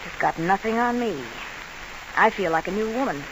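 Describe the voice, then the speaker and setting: A woman's voice: medium pitch, moderate pace, natural voice, rough recording. Actress as a hard, vengeful woman, hissy old radio. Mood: wry. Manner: tough, clipped, commanding. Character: hard, vengeful nightclub chorus woman, coolly determined. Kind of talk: 1940s radio crime drama acting.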